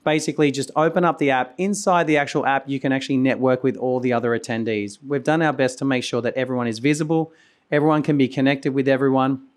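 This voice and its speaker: A hoarse man, losing his voice, hosting a conference and giving a loose, rambling keynote full of restarts and coughs. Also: mid-range voice, steady tone, quick pace. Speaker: conference host and company founder. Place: conference venue.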